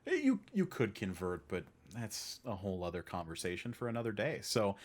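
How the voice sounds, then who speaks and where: Low-pitched male podcast host. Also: sing-song, fairly quick. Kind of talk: casual podcast chat.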